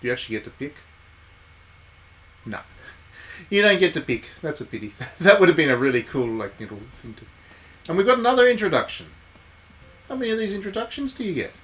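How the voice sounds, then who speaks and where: A man's voice: fairly high pitch, big pitch swings, fairly quick, long pauses, a bit bright. A man giving chatty, jokey livestream commentary on a game, talking to his viewers. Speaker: game streamer, reviewer. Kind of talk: casual livestream commentary, reading game text aloud.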